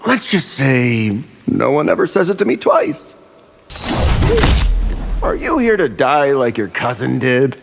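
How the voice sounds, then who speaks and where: An actor playing a smug, threatening superpowered villain in a video game. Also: male voice, medium pitch, wildly swooping pitch, slow, creaky, long pauses, noisy background, heavily acted. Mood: smug menace.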